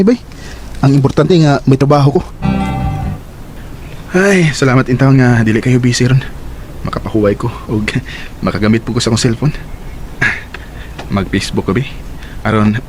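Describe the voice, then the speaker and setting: A man's voice: medium pitch, fairly quick, long pauses, darkish tone, soft background music, natural voice. An actor playing a young man who works at a burger shop and falls for a girl online, in a radio drama. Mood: chatty. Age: young adult. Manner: eager, giggly, earnest.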